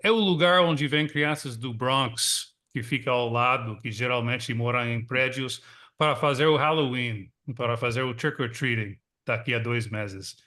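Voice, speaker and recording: Man, American accent with a São Paulo countryside lilt, native English speaker, bright tone, casual chatty panelist, video call. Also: medium pitch. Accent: American English with a Brazilian São Paulo countryside lilt. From Texas, United States. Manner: easygoing, chatty, frequent filler pauses. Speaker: guest panelist, magazine editor. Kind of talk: online panel discussion. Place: home in a small suburban town.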